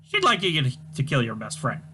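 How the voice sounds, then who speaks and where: A man's voice: medium pitch, huge pitch swings, a little fast. A man reading a comic aloud, voicing a prim young female assistant in a polite, businesslike way.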